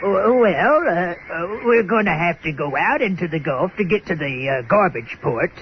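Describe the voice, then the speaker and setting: A seedy, peg-legged scow captain for a comic radio play. His high voice swoops around as he hems and haws in folksy, sheepish fashion. The sound is dull and lo-fi. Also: animated, normal speed, a little hiss or hum. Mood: apologetic, lonely. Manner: stammering, hemming and hawing, folksy. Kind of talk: comic audio drama acting in old-time radio manner.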